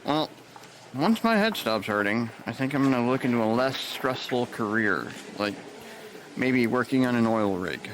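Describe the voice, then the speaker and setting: A man's voice: medium pitch, animated, moderate pace, a little hiss or hum, natural voice, rough recording. An actor playing a weary male hospital worker who talks with dry understatement, in an audio drama.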